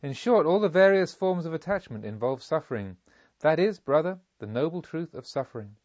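A slightly dull, dry recording of a serene spiritual master as a male narrator reads him. He teaches a disciple in a storybook, solemn and deliberate, with emphatic rhetorical phrasing and a slightly high male voice. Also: very animated, darkish tone, no echo.

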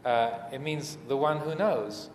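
Reflective man teaching in an echoing hall. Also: mid-range voice. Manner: measured, reflective, pausing often between short phrases. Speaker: Buddhist monk teacher giving a dharma talk. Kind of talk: unscripted dharma talk. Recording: echoey reverberant hall with background noise.

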